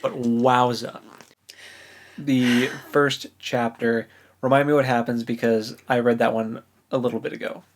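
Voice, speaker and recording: A man with a medium-pitched voice chats as co-host of a book podcast. He recaps loosely and conversationally, as if talking with a friend. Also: level tone, unhurried.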